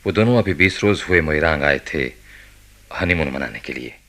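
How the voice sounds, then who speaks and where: A man acting a grave, reserved doctor in a radio play, husky-voiced, measured and courteous. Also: extremely low pitch, expressive, fairly bright tone, heavily acted. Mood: guarded, courteous. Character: grave, guarded male doctor recounting a case. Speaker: doctor and narrator character.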